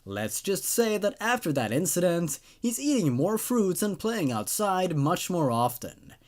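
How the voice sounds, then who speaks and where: A man's voice: high-pitched, sing-song, a little slow, pitched above the performer's own voice. A man voicing an exasperated mother who gives an earnest, dramatic infomercial testimonial about a child in a story reading. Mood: worried, then grateful.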